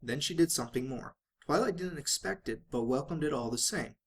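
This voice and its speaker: A man's voice: fairly high pitch, animated, brisk. A man reading fan fiction aloud as a dramatic narrator, sometimes stumbling and rereading phrases.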